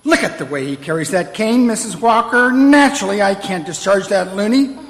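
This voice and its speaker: A man's voice: sits high, lively intonation, moderate pace, a bit bright. A man playing a nervous, pompous and irritable examiner in an old-time radio play, fussy and easily flustered. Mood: pompous, accusing. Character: nervous, officious, irritable male store examiner. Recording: hall stage recording with reverb.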